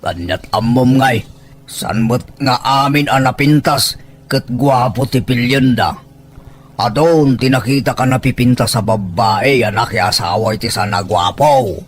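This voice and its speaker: A man's voice: medium pitch, measured pace, slight background noise, close to an everyday voice. An actor playing a teasing, bossy older village man who coaxes like a matchmaker in a rural audio drama. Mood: confident.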